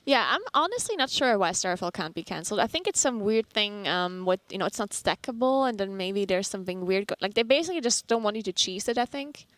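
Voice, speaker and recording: A woman's voice: mid-range voice, expressive, fast, hardly any pauses, fairly bright tone, no echo. A woman from northern Italy whose first language is German, chatting casually on a stream and explaining game terms with lots of fillers. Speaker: stream caster. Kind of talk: casual chat.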